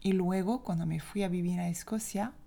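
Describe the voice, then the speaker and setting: A woman from France speaking with a French accent, a language teacher giving a reflective, opinionated solo podcast talk in measured phrases. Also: on the low side, steady tone, creaky, dark tone.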